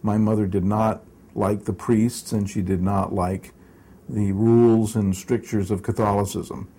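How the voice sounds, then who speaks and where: A man's voice: fairly low pitch, little pitch movement, measured pace, warm tone, slightly rough. A man in his sixties raised in Southern California, telling his life story in an oral history interview with many hesitations and wry asides. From United States, raised in Southern California. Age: 60s. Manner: rambling, hesitant with many fillers and restarts; wry, self-mocking humor.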